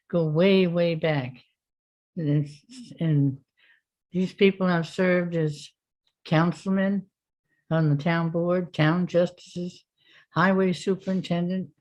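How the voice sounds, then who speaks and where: Older woman, formal campaign speech, slow, low-ish voice, dull lo-fi sound. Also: a little mellow. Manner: slow, formal, repeats words. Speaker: town clerk candidate. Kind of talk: prepared campaign statement. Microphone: video call.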